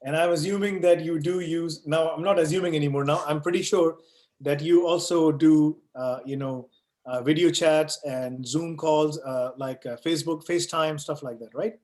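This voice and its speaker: A man's voice: on the high side, steady tone, moderate pace, a little mellow. Man teaching over an echoey call. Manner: explains with everyday analogies, frequent "right?" and "you know". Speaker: online course instructor answering questions. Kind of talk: live online Q&A session. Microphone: video call. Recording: echoey room.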